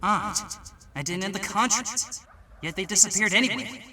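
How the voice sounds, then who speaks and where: A man's voice: high-pitched, normal speed, bright, forceful tone, distant mic, slight background noise. A man voicing an earnest boy fighter who commands spirits, speaking formally in an audiobook drama. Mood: troubled. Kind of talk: dramatic audiobook character acting.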